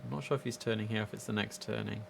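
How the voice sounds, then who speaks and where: A man's voice: fairly low pitch, steady tone, rapid-fire, gravelly, background noise. A young man giving chatty, enthusiastic casual commentary as he streams a game live from indoors. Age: young adult. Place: indoors at a computer.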